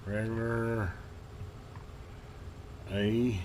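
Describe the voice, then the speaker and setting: A man giving casual hands-on commentary as a hobbyist host, halting and muttering to himself as he works. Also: on the low side, flat delivery, noisy background. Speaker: vintage radio hobbyist hosting a repair video.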